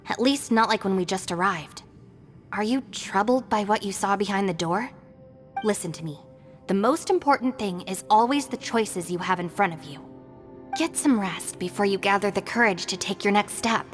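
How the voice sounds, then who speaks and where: Woman doing calm serious mentor in a game, middle voice, gentle and encouraging, faint music. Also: mid-range voice, moderate pace, close to an everyday voice. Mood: solemn, reassuring. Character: calm, solemn, encouraging adviser. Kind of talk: video game voice acting.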